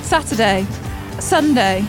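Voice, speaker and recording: Very high, lively female comedian over music. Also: slow, poor audio. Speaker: comedian, interviewee. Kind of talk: jokey casual banter.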